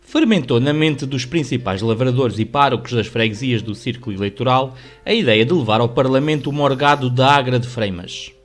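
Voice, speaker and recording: A man's voice: medium pitch, fairly quick. A man with a European Portuguese accent reading scripted literary narration for a radio drama. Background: soft music.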